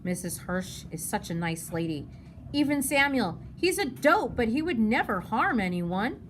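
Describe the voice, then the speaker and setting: A woman's voice: a little high, sing-song, a little slow. A woman reading a children's novel, voicing an earnest, curious ten-year-old girl. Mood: worried, questioning. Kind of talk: children's novel read-aloud. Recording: noticeable background noise.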